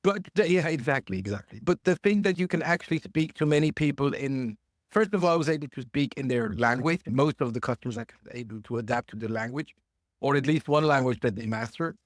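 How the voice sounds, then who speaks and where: A man speaks as a podcast guest over a remote call, thoughtful and a little halting, stopping often to rephrase. His voice sits at an ordinary male pitch, and the sound is dry with no room echo. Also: expressive. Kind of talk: casual interview chat.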